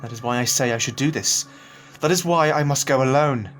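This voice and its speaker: An actor playing a stubborn, impassioned young husband in a dystopian audio drama, earnest and desperate. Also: male voice, mid-range voice, brisk, a bit bright, lightly acted. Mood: sad, resigned. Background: music.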